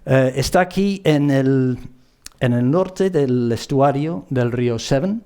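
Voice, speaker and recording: Mellow British man presenting, halting delivery. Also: mid-range voice, clean tone. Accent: British English. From Bristol area, England. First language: English. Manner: halting, searching for words, repeating himself. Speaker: conference speaker. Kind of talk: public presentation with examples.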